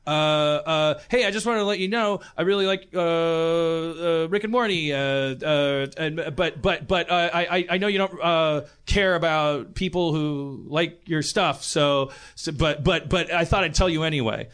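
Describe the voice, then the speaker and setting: A man hosting a comedic talk podcast in a rambling, halting way, often stammering and repeating words. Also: on the high side, a bit bright, clean tone. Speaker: podcast host.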